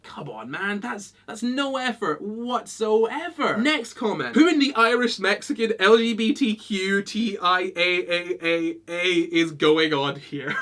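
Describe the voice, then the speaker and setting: A man's voice: high-pitched, a little slow, sharp tone. A young man with a Scottish accent from Britain, reading hate comments aloud and responding in a dry, amused, casual way. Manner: dry, amused, laughs often. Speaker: YouTuber.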